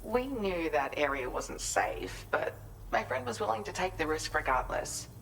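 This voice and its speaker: A woman's voice: sits low, big pitch swings, normal speed, creaky, fairly bright tone, a little hiss or hum, heavily acted, poor audio. An actress playing a kind, composed woman prospector and inventor in a video game, speaking politely and articulately in an explanatory way. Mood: earnest, reflective. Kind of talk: scripted video game cutscene dialogue.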